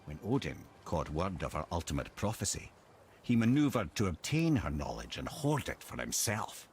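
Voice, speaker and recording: Game role: wry old lore keeper, low voice, swooping delivery, eloquent. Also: male voice, big pitch swings, normal speed, darkish tone, faint music behind. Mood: solemn storytelling. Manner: eloquent, wry, dramatic storytelling. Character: wise, witty old male storyteller and lore keeper. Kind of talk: cinematic video game dialogue.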